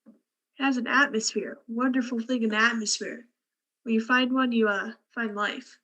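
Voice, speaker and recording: A woman playing a thoughtful, unruffled doctor and scientist in a radio drama, musing and measured. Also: fairly high pitch, fairly even intonation, pushed and bright, highs cut off.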